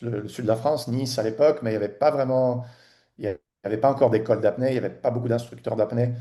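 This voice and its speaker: Podcast guest, man in his forties from Tunisia; mellow, halting delivery full of fillers. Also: medium pitch, fairly even intonation. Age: 40s. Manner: halting, many restarts and fillers. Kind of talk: casual interview chat.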